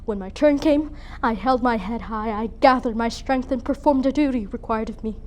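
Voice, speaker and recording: A woman's voice: on the high side, normal speed, darkish tone, a little hiss or hum, lightly acted. A woman performing a dramatic monologue as a grieving, bitter, proud young noblewoman mother, with an emotional theatrical delivery. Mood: proud, defensive. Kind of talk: dramatic monologue for speech competition.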